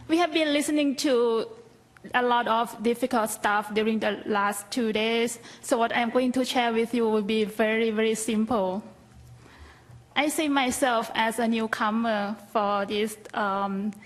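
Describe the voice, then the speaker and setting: A woman with a Thai accent speaks in a fairly high voice with very little melodic movement. She presents prepared material as a researcher at a conference, explaining it plainly and modestly. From Thailand. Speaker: health policy researcher, conference panelist.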